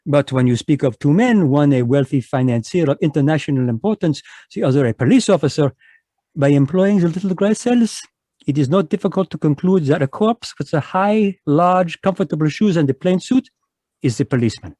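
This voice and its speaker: An actor playing a fussy, courtly Belgian detective, in a live radio drama over a video call. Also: male voice, a little high, animated, fairly quick, dark tone, no room sound. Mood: smug, courtly. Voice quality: precise, courtly French-inflected delivery. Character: fussy male Belgian detective, polite and sly.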